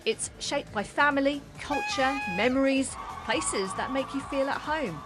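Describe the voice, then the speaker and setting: A woman's voice: sits high, unhurried, over music. A woman reporter from London narrating a personal, reflective scripted report.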